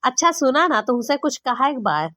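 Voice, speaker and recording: A woman's voice: high voice, big pitch swings, normal speed, fairly bright tone. A woman voicing a young village daughter-in-law with an Awadhi accent, complaining dramatically and colloquially in an amateur cartoon comedy. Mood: shy, hesitant. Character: exasperated, embarrassed young village daughter-in-law, gossipy and scolding.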